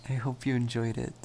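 A man voicing a shy, flustered young male vampire in a soft ASMR roleplay, stammering and hesitant. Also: mid-range voice, vocal fry, slight background noise, exaggerated voice.

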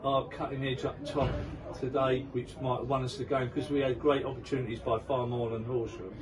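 A man's voice: mid-range voice, little pitch movement, a little fast. An older man interviewing a player after a match, chatty and promotional, outdoors at a football ground. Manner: chatty, promotional, leading questions. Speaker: club interviewer. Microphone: handheld mic, camera at a distance. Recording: distant mic with crowd noise.

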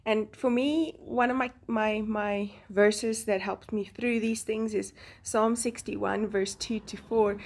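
A woman's voice: medium pitch, steady tone, takes it slowly, hardly any pauses, a little mellow. Woman, south african accent, native afrikaans speaker, chatty voice-over, laughs between phrases. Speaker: Bible journaling channel host. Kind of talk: voice-over narrating a craft process.

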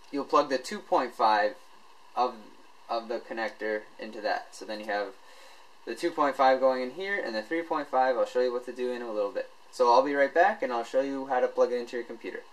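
A man presenting a tech tutorial in a casual, informal, unscripted way, halting as he explains each step. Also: medium pitch, poor audio.

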